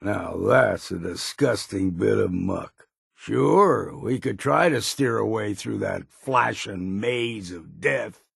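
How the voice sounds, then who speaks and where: Grizzled, hard-drinking old island companion for a game: husky, low-pitched, wry and folksy, swinging widely in pitch. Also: male voice, dry recording, clean studio sound, heavily acted. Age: older. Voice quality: gravelly, husky. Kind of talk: video game character voice lines.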